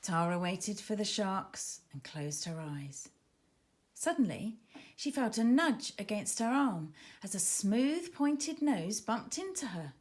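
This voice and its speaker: A woman's voice: mid-range voice, sing-song, slow, darkish tone. A woman narrating a children's story expressively, with dramatic emphasis as she reads. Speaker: author reading own children's book.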